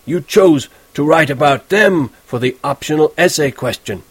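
A man reading an audiobook, voicing a sarcastic old male history teacher who speaks slowly and deliberately. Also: on the high side, animated, husky, no room sound, pitched above the performer's own voice. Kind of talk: audiobook character voice.

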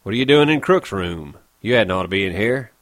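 A man voicing a stern, disapproving ranch hand who speaks briskly. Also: on the low side, expressive, dry recording, lightly acted.

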